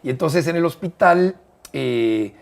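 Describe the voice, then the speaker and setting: A middle-aged man with a Mexican accent, a broadcaster telling a detailed story as an interview guest, measured and hesitant at times. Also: a little high, expressive, vocal fry. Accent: Mexican Spanish. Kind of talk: conversational storytelling.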